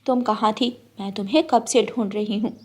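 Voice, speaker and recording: A woman's voice: mid-range voice, steady tone, a little fast, a little mellow, voice pushed down, natural voice. Woman doing a mean bossy stepmom bird for a cartoon, scolding and threatening. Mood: angry.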